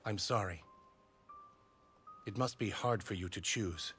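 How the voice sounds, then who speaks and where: An actor playing a noble, idealistic warlord in a video game, speaking in a solemn, earnest way. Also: male voice, lowish voice, unhurried, a bit bright, faint music behind. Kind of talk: English-dubbed video game cutscene acting.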